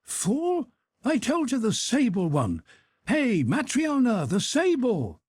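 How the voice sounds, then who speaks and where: A brash young rake shouting orders through a house, as a male narrator puts him on. He swaggers and commands, his voice pushed high and loud. The tone stays a little husky. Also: big pitch swings, measured pace, dry recording, clean studio sound, heavily acted. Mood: commanding. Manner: loud, bossy, barking orders.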